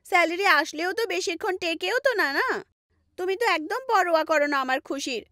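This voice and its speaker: A woman's voice: very high-pitched, animated, quick pace, no room sound, studio quality, full character voice. A woman voicing a sulky, manipulative young wife with a whiny, wheedling delivery in a cartoon story. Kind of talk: animated story dubbing. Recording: loud music bed under voice.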